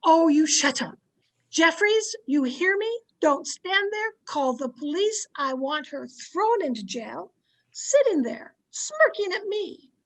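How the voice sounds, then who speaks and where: A woman's voice: very high-pitched, big pitch swings, slow pace, heavily acted, poor audio. A woman playing a domineering, haughty, easily outraged society wife in an old-time radio drama, scolding and imperious. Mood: outraged, commanding. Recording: amateur video-call recording.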